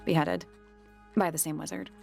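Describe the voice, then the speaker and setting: An actress voicing a dry, sarcastic young female detective's sidekick in a video game, with a creaky, deadpan delivery. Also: sits low, moderate pace, faint music behind, heavily acted.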